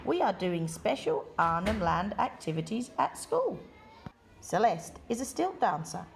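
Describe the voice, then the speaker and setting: A woman reading a children's picture book aloud in deliberate, measured phrases with expressive emphasis. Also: mid-range voice, gravelly, faint music behind. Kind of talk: read-aloud children's story.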